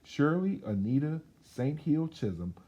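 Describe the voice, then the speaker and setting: A man reading a children's picture book aloud in an expressive, emphatic storytelling manner. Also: a little high, a little slow, breathy edge, muffled recording. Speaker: children's read-aloud host.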